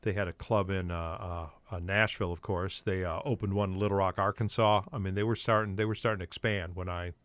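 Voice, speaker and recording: An older man with a lowish voice tells long, rambling stories in a relaxed podcast chat. He keeps restarting his sentences and padding them with fillers. The room sounds dry and close to the microphone. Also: a little fast, hardly any pauses, no room sound. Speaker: guest comedian.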